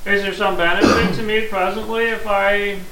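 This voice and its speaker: A man's voice: high voice, steady tone, normal speed, sharp tone. A man, preaching a sermon in a plain-spoken, earnest way and explaining scripture step by step. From Missouri, USA. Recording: echoey room from a distance, faint hiss.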